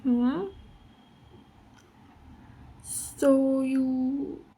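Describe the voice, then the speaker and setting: A high, unhurried voice for a big ogre warrior woman, acted out by a woman. She is a gentle, encouraging mentor in an intimate ASMR fantasy roleplay, taking her time with each phrase. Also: little pitch movement, breathy edge, heavily acted. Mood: concerned. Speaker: ASMR voice actor.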